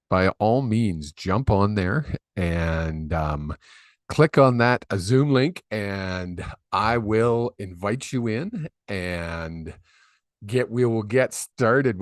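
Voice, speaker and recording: A man's voice: lowish voice, no room sound, studio quality. A man hosting a live psychic reading stream, chatty and rambling with lots of fillers, on a desk microphone. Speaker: psychic medium host. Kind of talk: casual live-stream chat. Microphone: desk microphone on a video call.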